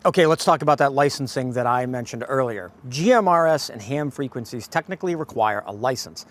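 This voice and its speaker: A man's voice: medium pitch, expressive, brisk, no room sound. A man presenting an informal product review, addressing viewers directly in a folksy way as a host. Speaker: host of a radio gear review channel.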